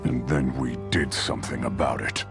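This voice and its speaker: An actor playing a grim, blunt Viking warrior who narrates in a deep, gravelly voice for a game cutscene. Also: male voice, steady tone, normal speed. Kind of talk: video game cutscene acting. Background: loud score music.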